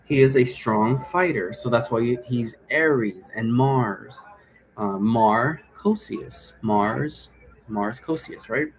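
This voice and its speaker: A man giving a casual, unscripted explanation as a teacher, rambling along and often checking understanding with a quick "right?". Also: mid-range voice, rough recording. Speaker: teacher explaining occult role-play rules.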